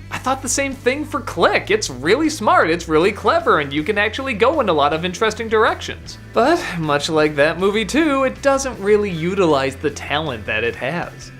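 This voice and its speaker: A man's voice: a little high, a bit bright. A man giving scripted film review commentary in a conversational, wryly opinionated way.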